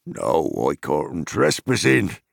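A man voicing an old male hobbit farmer who talks in a gruff, hearty country dialect. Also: a bass voice, wildly swooping pitch, slow pace, no room sound, clean studio sound, heavily acted.

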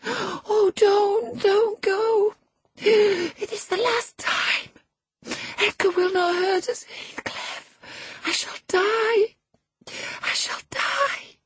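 A narrator voicing a desperate dying young woman, breathy and wildly pleading, in an audiobook. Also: very high-pitched, steady tone, extremely slow, no room sound, pitched above the performer's own voice, full character voice. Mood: hysterical pleading. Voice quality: breathy, gasping. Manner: pleading, wild, stretched-out words.

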